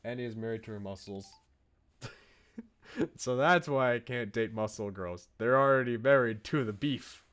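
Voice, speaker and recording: A man's voice: medium pitch, moderate pace, a bit bright. A man streaming a visual novel, reading it aloud with casual asides and often stumbling over lines and correcting himself.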